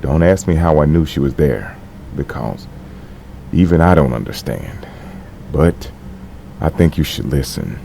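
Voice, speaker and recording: Very deep, gravelly veteran played by a man. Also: lively intonation, measured pace, long pauses, mellow, full character voice. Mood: earnest, encouraging. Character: gruff, tender veteran man with a grieving past. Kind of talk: audio drama acting. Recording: faint background noise.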